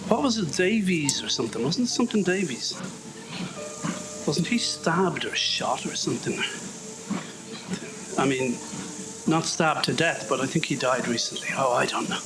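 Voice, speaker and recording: A man's voice: a little high, expressive, moderate pace, long pauses, rough recording. An Irish man talking to himself in a loose, wandering monologue while walking on a treadmill, sniffly, with self-mocking asides. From Ireland. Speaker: podcast host. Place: home, on a treadmill. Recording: background music under voice.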